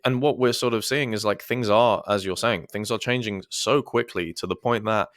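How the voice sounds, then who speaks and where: A man's voice: lowish voice, normal speed, a bit bright, no echo, studio quality. A man who is a guest on a podcast, talking in a thoughtful, hesitant way with many restarts. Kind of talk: casual podcast conversation.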